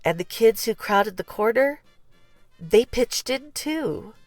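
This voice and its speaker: A woman reading a children's picture book aloud as scripted narration, with measured, deliberate phrasing and pauses. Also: fairly high pitch, expressive, no room sound, faint music behind. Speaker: story reader.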